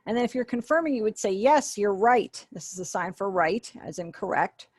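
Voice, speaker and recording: A woman's voice: medium pitch, lively intonation, normal speed, breathy edge, dry recording. A woman giving an online sign language lesson over a webinar call, patiently explaining and acting out example phrases. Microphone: webinar video call.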